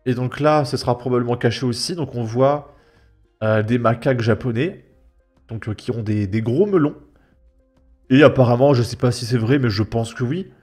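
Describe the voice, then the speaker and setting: A man's voice: medium pitch, normal speed, darkish tone. A man with a French accent giving casual, colloquial video commentary in a mocking, emphatic manner. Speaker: host of a reaction video channel. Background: background music.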